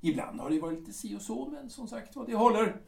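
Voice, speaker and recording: Man voicing a boastful aging army captain, high lively voice, roomy and distant. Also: gravelly, full character voice. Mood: bickering. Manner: bluff, boastful, sarcastic. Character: blustering aging artillery captain husband. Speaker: Edgar. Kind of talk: audio drama acting.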